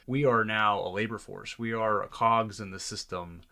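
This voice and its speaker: Low-pitched man, serious conversational talk. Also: fairly even intonation, normal speed, vocal fry, bright, forceful tone. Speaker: doctor, podcast guest. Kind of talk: earnest conversational interview talk.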